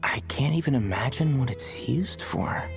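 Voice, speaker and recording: An actress playing a no-nonsense, sharp-tongued young woman leading an expedition in a video game, dry and commanding. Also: a bass voice, animated, moderate pace, heavily acted. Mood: exasperated, firm. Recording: game music clearly behind voice.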